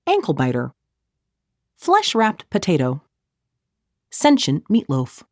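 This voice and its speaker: A woman narrates an audiobook in a slightly low voice that swings widely in pitch. Her reading is scripted yet conversational, full of wry, snarky asides. The sound is dry and close, with very little room in it. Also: a little mellow, dry recording. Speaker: author reading own audiobook.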